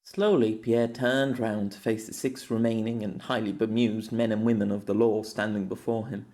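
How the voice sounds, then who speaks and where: A man's voice: on the low side, moderate pace. Man narrating an audiobook. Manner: steady, storytelling. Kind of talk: scripted narration.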